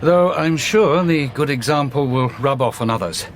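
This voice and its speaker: An actor playing a confident, opinionated Victorian police inspector in a radio drama, humming and then pronouncing firmly. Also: male voice, medium pitch, normal speed, close to an everyday voice. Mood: businesslike, assured. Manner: hesitant hums, assertive pronouncements. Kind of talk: period radio drama acting. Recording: clear background noise.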